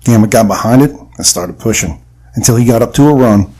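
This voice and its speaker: Folksy, sincere adventurer-narrator male actor for an audio drama, middle register, clear ambient noise behind. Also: mid-range voice, a little fast, close to an everyday voice. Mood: narrating. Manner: earnest storytelling. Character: earnest, folksy male adventurer and marksman telling his tale.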